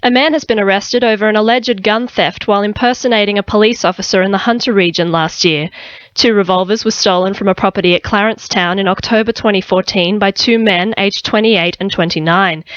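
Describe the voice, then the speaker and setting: A woman's voice: medium pitch, fairly even intonation, fairly quick, fairly bright tone, no echo, lo-fi sound. An Australian woman reading a scripted radio news bulletin as the presenter. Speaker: news reader. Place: dry radio studio.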